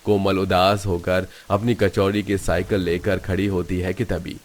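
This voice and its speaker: A man narrates a cartoon tale in a fairly low voice. The delivery is scripted storytelling, told in the third person as a narrator. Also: level tone, normal speed.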